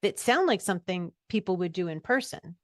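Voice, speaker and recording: A woman hosting a spiritual podcast, gentle and earnest in how she talks. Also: on the low side, lively intonation, a little fast, no room sound, studio quality. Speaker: podcast host and medium. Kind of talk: podcast interview.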